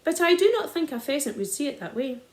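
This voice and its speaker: A woman voicing a calm, sly country father who speaks softly and wryly. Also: high-pitched, fast talker. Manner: soft-spoken, wry. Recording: roomy echo.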